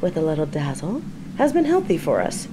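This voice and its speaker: A woman's voice: low voice, wildly swooping pitch, brisk, roomy sound, music in the background, rough recording. A woman playing a smug, bored sorceress turned journalist in an audio drama, with a sardonic, condescending way of talking. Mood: playful. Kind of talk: audio roleplay drama acting.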